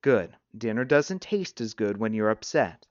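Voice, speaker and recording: A man's voice: on the low side, animated, a little slow, dry recording, highs cut off. A man voicing a proud, tender and no-nonsense mother in a storybook reading. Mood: firm, reassuring.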